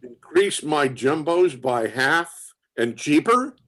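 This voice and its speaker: A man performs a gruff inventor in a stage drama, speaking slowly in a fairly high male voice. He is folksy and blustering, halting and rambling as he goes, cagey and hard of hearing. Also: expressive, a bit bright, heavily acted. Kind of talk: dramatic play reading. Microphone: video call.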